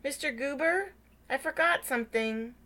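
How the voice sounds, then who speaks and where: A woman voicing a gentle, lonely man in a children's picture book, speaking politely and earnestly. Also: high voice, normal speed, pitched above the performer's own voice. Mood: considerate. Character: gentle, lonely, mild-mannered man in a storybook.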